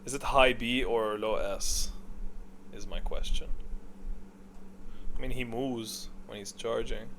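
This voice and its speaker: A man's voice: mid-range voice, steady tone, slow, long pauses, fairly bright tone, a little hiss or hum. A man giving casual, opinionated tier-list commentary as a gaming video creator, musing aloud and questioning himself as he goes.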